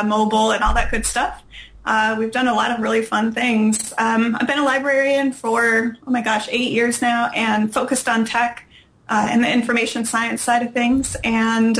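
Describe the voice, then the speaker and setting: A woman's voice: on the high side, a bit bright, rough recording. A woman appearing as a guest, casually introducing herself and her professional work. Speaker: guest, library tech manager.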